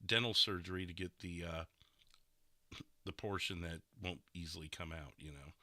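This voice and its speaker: A man's voice: low voice, moderate pace, pushed and bright, no echo. A man hosting a podcast in casual chat, with hesitant, rambling phrasing. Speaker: podcast host.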